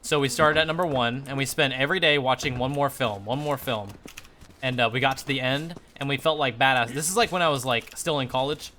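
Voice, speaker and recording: A young man telling a casual story while gaming on a livestream, animated and slangy, swearing freely. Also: mid-range voice, a little fast, slight background noise. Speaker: live streamer.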